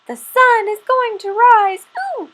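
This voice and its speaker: A cheeky little boy squirrel chirps his words in a bright, high sing-song, as a woman puts him on. He sounds far above an everyday speaking voice, quick and excitable, straight out of a storybook. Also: full character voice. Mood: gleeful.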